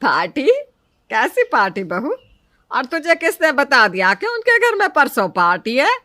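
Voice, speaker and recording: A woman's voice: sits high, sing-song, normal speed, sharp tone, dry recording, heavily acted. A woman voicing an older, fussy, thrifty mother-in-law in a cartoon story, emphatic and matronly. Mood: questioning, firm. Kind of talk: Hindi cartoon story dialogue.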